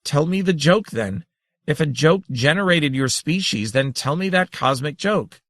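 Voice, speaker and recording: Man doing a shrill, frantic explorer. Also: lively intonation, measured pace, a bit bright, no room sound, clean studio sound. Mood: demanding. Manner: shrill, pleading, bleating. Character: excitable, desperate male explorer.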